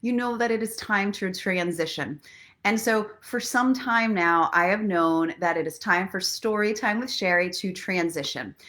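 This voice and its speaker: A woman hosting a casual live online show, speaking in an expressive, earnest and encouraging way, with a playful streak. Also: mid-range voice, normal speed. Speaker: host of a live online story and inspiration show. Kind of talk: casual live chat mixed with guided relaxation and motivational talk.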